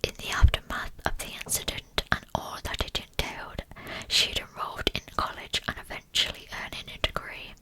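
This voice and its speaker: A woman speaks entirely in a breathy whisper, with no voiced tone. She reads a scripted true-crime narration in an ASMR style, softly and deliberately, taking her time over each phrase. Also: poor audio.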